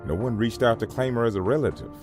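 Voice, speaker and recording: A man's voice: medium pitch, lively intonation, fast, music in the background, lightly acted. An actor playing a protective, hot-headed young man in an audio horror drama, assertive and earnest. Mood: intent, grim.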